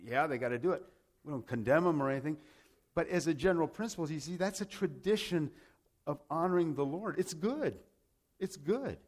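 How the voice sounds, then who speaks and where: A man from Rome, Italy, preaching a sermon in an emphatic, conversational way, with rhetorical questions and repeated phrases. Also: a little high. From grew up in Rome, Italy, to American parents. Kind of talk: sermon with scripture and personal anecdotes.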